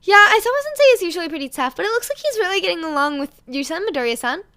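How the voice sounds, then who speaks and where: A woman's voice: very high voice, expressive, very fast, sharp tone, voice pushed up, heavily acted. A woman voicing a friendly, admiring teenage girl student with a chirpy, chatty manner in a story reading. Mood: cheerful, admiring.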